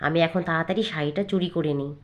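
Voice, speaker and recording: A woman's voice: deep voice, steady tone, racing, highs cut off, pitched below the performer's own voice, lightly acted. A woman voicing a lazy, spiteful young sister-in-law in a cartoon fairy tale, whining and scheming. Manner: whiny complaining and gleeful scheming.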